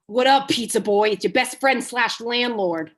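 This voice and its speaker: Brash, foul-mouthed Hollywood agent, played by a woman, higher-pitched, cocky and needling, comedy table read. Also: normal speed, lightly acted. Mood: cocky, crude. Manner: cocky, blunt, taunting.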